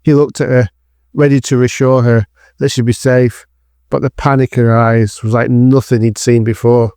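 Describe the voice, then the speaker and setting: A man's voice: mid-range voice, little pitch movement, normal speed, a little mellow, clean tone, dry recording, clean studio sound. A man narrating a scripted thriller drama in the third person.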